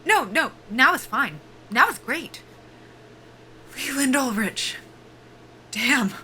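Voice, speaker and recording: An actress playing an earnest, driven young military pilot at a job interview, halting and anxious, in a cinematic audio drama. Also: female voice, high voice, animated, sharp tone, slight background noise, heavily acted. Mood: flustered, eager. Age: young adult.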